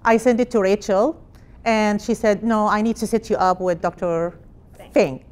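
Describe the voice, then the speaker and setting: A middle-aged woman from Palestine, a hospital director, giving an energetic personal talk in a conference room with phrasing. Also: medium pitch, moderate pace. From Jerusalem, Palestine. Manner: energetic, gesturing while speaking, non-native phrasing. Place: conference room with projected slides.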